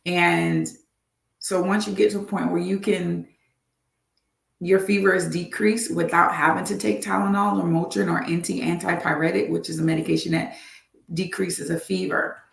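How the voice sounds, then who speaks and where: A woman's voice: on the low side, level tone, normal speed, gravelly, reverberant. A woman doctor hosting a live health talk, earnest and repeating her advice for emphasis.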